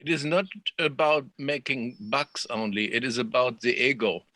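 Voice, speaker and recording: A man in a group discussion who speaks deliberately and pauses often with fillers. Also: medium pitch, fairly even intonation, pushed and bright, no room sound.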